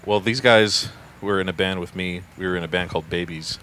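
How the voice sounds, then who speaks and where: A man's voice: low-pitched, fairly quick, pushed and bright. A man, a joking band member who hesitates with fillers. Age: 20s.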